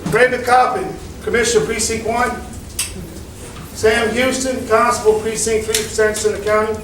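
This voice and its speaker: A man addresses a packed community hall in a loud, folksy manner. His voice sits high for a man. He is recorded from a distance in an echoey room with clear background noise. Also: fairly even intonation, normal speed. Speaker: meeting chairman. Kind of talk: public address to a meeting.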